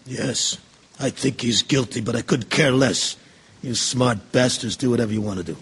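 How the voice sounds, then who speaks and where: An actor playing a sullen, resentful juror in a radio drama, with a very raspy voice. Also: male voice, medium pitch, moderate pace, fairly bright tone. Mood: sullen, resigned. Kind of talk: stage-style radio drama acting. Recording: long hall reverb.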